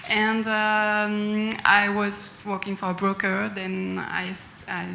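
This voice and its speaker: Woman, French accent, creaky edge, level pitch, echoey hall. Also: monotone, takes it slowly, bright, forceful tone, a little hiss or hum. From France. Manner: simple non-native phrasing, slightly hesitant. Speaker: IT project manager, panelist. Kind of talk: conference panel self-introduction.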